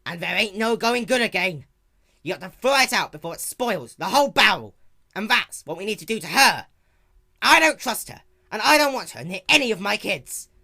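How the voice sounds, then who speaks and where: A man's voice: high-pitched, very animated, normal speed, creaky, bright, forceful tone, pitched above the performer's own voice, exaggerated voice. A man voicing a cranky, distrustful old granny pony, snapping in folksy phrases for a story reading. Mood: stubborn, angry.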